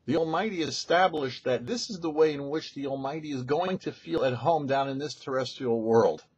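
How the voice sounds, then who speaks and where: A man, a rabbi, giving a weekly Torah commentary in a thoughtful, explanatory teaching manner with pauses. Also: mid-range voice, darkish tone, husky, highs cut off. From United States. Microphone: studio mic.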